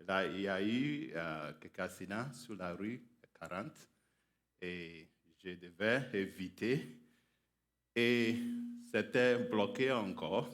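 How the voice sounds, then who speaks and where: Slow, low-voiced preacher. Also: male voice, lively intonation, fairly bright tone. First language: English. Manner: halting, careful beginner's French. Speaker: guest preacher. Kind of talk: sermon introduction. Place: church sanctuary.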